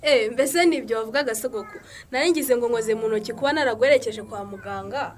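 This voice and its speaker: A cheerful young granddaughter played by a woman in a radio drama. She teases in a bubbly way, talking fast in a fairly high voice. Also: close to an everyday voice. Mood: cheerful, reassuring. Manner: bubbly, teasing.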